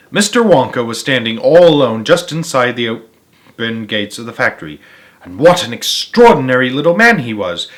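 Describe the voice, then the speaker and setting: Expressive man reading a children's story aloud. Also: mid-range voice, normal speed. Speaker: storybook narrator. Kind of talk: expressive read-aloud narration of a children's novel.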